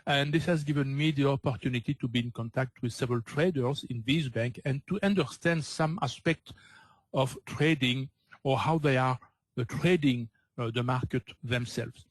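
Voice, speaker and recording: A man's voice: medium pitch, normal speed, no room sound. Older Belgian man with a French accent. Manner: hesitant, frequent uh fillers, non-native phrasing. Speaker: trading coach presenting a webinar. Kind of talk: webinar presentation.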